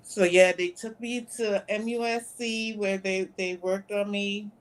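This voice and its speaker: A woman in her fifties, a guest on a talk show, recounting events in a plain, soft-spoken way. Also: mid-range voice, steady tone, a little slow. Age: 50s. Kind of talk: interview conversation.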